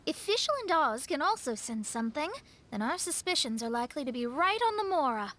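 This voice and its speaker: High-voiced, self-assured young mage. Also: female voice, very animated, fairly quick, fairly bright tone. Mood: confident, pondering. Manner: confident, articulate, explanatory. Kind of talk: video game voice acting.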